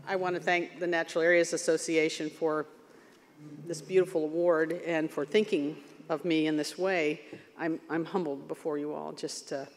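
A woman in her sixties giving a humble award acceptance speech from a podium, halting with many fillers. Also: sits low, flat delivery. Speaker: award recipient. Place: large banquet hall stage. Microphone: podium microphone. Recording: long hall reverb.

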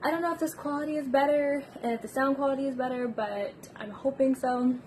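A woman's voice: sits high, brisk, a little mellow, slight background noise. A young woman vlogging casually from her bedroom, talking into a phone held at arm's length, rambling with fillers and giggling. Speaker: book vlogger. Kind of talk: casual vlog chat. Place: small bedroom.

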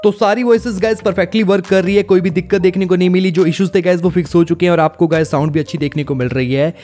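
A man's voice: a little high, darkish tone. A man in his twenties with an Indian accent, narrating a tech review as a YouTuber speaking straight to his viewers. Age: 20s. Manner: repetitive, addresses viewers as guys.

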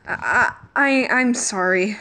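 A woman voicing flustered, lovestruck young male cartoon space pilots in an amateur comic dub, with an emotional, halting delivery. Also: a little high, bright, forceful tone. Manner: stammering, emotional.

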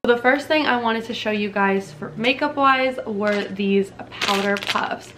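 A woman's voice: on the high side, a little slow, loud music behind the voice. A woman in her twenties from Canada, chatting casually to camera in a bubbly, slangy way in a small dim room. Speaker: beauty vlogger.

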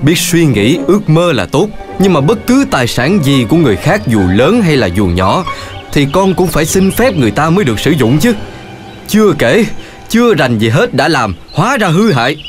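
Bác bò miền Nam, giọng khá cao. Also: male voice, on the high side, big pitch swings, normal speed, over music. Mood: scolding, stern. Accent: Southern Vietnamese. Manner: scolding, lecturing. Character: indignant older cartoon bull, a scolding neighbourhood uncle farmer. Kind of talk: children's cartoon voice acting.

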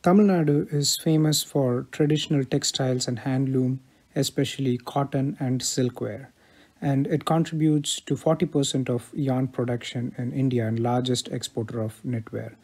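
Husky man narrating festival facts. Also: medium pitch, steady tone, moderate pace, darkish tone. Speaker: presenter. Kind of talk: prepared narration.

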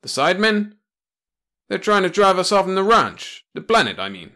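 A man's voice: high-pitched, sing-song, normal speed, sharp tone, voice pushed up, exaggerated voice. A man voicing a folksy, hard-of-hearing old man in a sci-fi audiobook, telling things in a drawling, homespun way. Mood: folksy grumbling. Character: folksy, deaf old man, tobacco-chewing alien sage.

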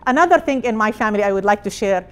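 A middle-aged woman from Palestine, a hospital director, giving an energetic personal talk in a conference room with phrasing. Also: medium pitch, very fast, clear, resonant tone. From Jerusalem, Palestine. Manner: energetic, gesturing while speaking, non-native phrasing. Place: conference room with projected slides.

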